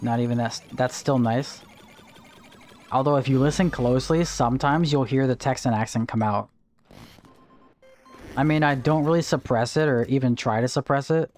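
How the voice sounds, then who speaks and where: A man's voice: medium pitch, moderate pace, pauses often, darkish tone. A young man chatting casually on a livestream, greeting viewers and explaining game items as he plays. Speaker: streamer. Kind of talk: casual livestream chat while gaming.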